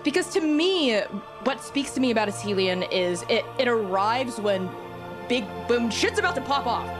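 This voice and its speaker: A woman talking casually and enthusiastically as a podcast guest and game master, informal and animated. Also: fairly high pitch, moderate pace, music in the background.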